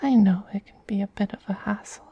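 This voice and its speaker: A man playing a soothing, possessive femboy vampire queen in a soft, breathy whispered ASMR roleplay, slow and tender. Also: sits high, no room sound, dull audio. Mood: tender, gently teasing. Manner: slow, tender, coaxing endearments.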